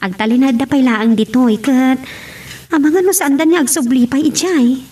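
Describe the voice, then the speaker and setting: A woman acts out a gentle wife explaining things to her husband in an amateur audio drama. Her voice is fairly high and breathy-husky. She sounds a little way off the microphone. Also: normal speed, distant mic. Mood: careful. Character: wife abroad gently breaking news to husband.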